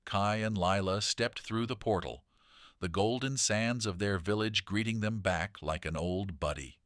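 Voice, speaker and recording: A man narrating a children's bedtime story in a gentle, deliberate read-aloud manner. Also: lowish voice, no echo, studio quality.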